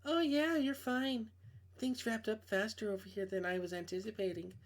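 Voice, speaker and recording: A caring, protective woman from a visual novel, voiced by a man, talks in a raised pitch. She is steady, casual and straightforward, the reassuring friend. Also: normal speed.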